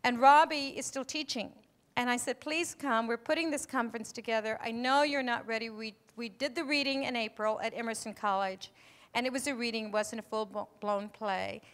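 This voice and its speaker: An American woman in her sixties hosting a live event, speaking emphatically and deliberately into a handheld microphone. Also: fairly high pitch, fairly even intonation, fairly bright tone. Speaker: event host and moderator. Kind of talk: addressing a live audience on stage. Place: auditorium stage before an audience. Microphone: handheld stage microphone.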